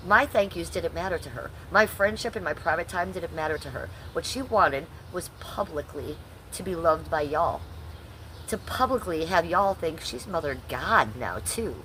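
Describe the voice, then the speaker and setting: Brief: sarcastic middle-aged Ohio woman, lowish voice, livestream host, faint background noise. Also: animated, moderate pace, fairly bright tone, poor audio. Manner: blunt, profane, sarcastic. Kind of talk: casual livestream chat.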